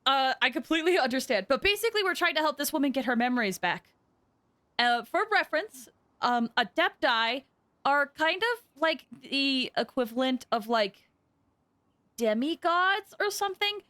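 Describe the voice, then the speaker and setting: A woman casually commentating on a live game stream, chatty and excitable, close on the microphone. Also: high-pitched, moderate pace, no room sound. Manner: chatty, excitable, swoops into squeals.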